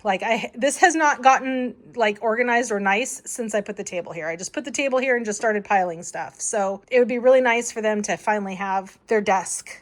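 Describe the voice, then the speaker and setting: Hoarse woman vlogger, chatty and rambling, mid-pitch voice, handheld house tour. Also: fairly quick, hardly any pauses. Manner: rambling, chatty, laughs often. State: hoarse from a long cough. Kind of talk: casual house walk-through. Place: family house, indoors.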